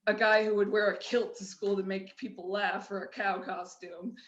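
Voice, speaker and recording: A middle-aged woman with a flat Midwestern accent shares her story as a podcast guest. She talks candidly and loosely, restarting her sentences often. Her voice has a roomy echo around it. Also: medium pitch, normal speed, dull audio, noisy background. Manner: candid, rambling, with frequent restarts and laughs. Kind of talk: personal storytelling in a conversation.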